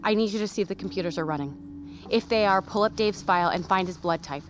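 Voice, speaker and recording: An actress playing a composed medic who takes charge with businesslike instructions, in a naturalistic audio drama. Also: female voice, mid-range voice, fast talker, a little hiss or hum, natural voice. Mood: urgent, businesslike. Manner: businesslike, giving clear instructions. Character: brisk, composed female medic taking charge.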